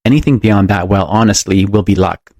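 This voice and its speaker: A man's voice: lowish voice, brisk, a little mellow, no echo, clean studio sound. A man voicing a pompous, zealous doctor who makes grand, uplifting speeches in an audiobook. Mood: grandiose, zealous. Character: pompous, zealous male doctor lecturing on bold experiments. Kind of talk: audiobook character voice.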